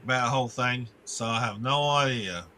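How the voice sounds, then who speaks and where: A man's voice: medium pitch, brisk, pushed and bright, a little hiss or hum. A man ranting on a live stream, rambling and restarting his sentences, swearing and breaking off to greet viewers in the chat.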